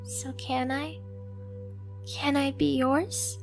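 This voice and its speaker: A woman's voice: sits high, flat delivery, extremely slow, creaky, heavily acted. A woman voicing a submissive, obsessively devoted stray cat girl in an anime ASMR roleplay, with a girlish, eager, self-deprecating manner. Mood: meek, pleading. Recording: music behind the voice. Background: music.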